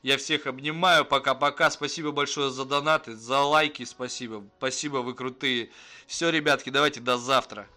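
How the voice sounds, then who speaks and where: A man's voice: mid-range voice, steady tone, normal speed, pushed and bright. A man hosting a prank-call livestream in casual, slangy, foul-mouthed chat. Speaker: livestream host making prank calls.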